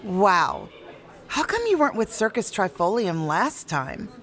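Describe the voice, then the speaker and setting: A woman's voice: medium pitch, very animated, measured pace. A woman playing a gracious, curious visitor, polite and friendly, in an audio drama. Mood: curious, delighted. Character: gracious, curious, warm-mannered female insurance visitor.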